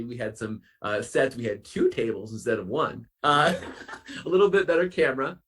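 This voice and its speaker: Slightly husky man telling animated anecdotes. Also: medium pitch. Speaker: podcast guest, children's science educator and author. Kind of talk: conversational interview storytelling.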